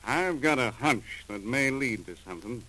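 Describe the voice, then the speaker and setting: An American man acting a gruff, seasoned customs chief in a 1940s radio crime drama, with clipped, slangy, businesslike delivery. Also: medium pitch, expressive, moderate pace, sharp tone, rough recording. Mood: businesslike, intent. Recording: old 1940s radio recording.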